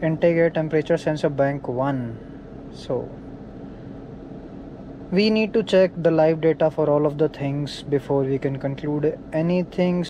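A man's voice: a little high, a little slow, muffled recording, noisy background. A man explaining a car repair step by step while he works inside a parked car. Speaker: DIY car mechanic. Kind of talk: hands-on repair tutorial.

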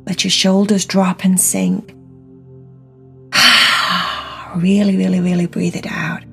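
Female meditation guide: lowish, gravelly-edged voice, very slow delivery, soothing and encouraging instruction, music underneath. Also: little pitch movement.

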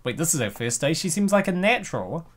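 A man's voice: fairly high pitch, very animated, fairly quick. A man hosting a comic reading, giggling often and rambling with lots of fillers. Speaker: host reading a comic dub. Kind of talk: casual chat.

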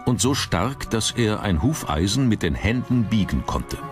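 A man's voice: fairly low pitch, sing-song, unhurried. A man reading scripted documentary narration as the narrator. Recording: music under the voice. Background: background music.

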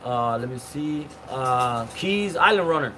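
A man's voice: mid-range voice, animated, measured pace, pushed and bright, slight background noise. A man in his forties hosting a casual live stream outdoors, rambling through viewer comments with lots of fillers and restarts. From Cuban. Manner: chatty, rambling, many fillers and restarts, greets viewers by name. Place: outdoor patio with palm trees.

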